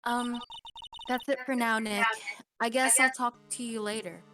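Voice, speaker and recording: A woman's voice: a little high, fairly even intonation, a little slow, sharp tone, faint music behind, poor audio. A woman voicing a spirited, teasing young woman, bossy yet affectionate, in a game fan dub. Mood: wistful.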